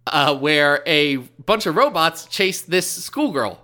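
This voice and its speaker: A man's voice: a little high, animated, takes it slowly, bright, forceful tone. A man from the American Midwest co-hosting a podcast, with dry, teasing jokes in casual chat. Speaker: podcast co-host.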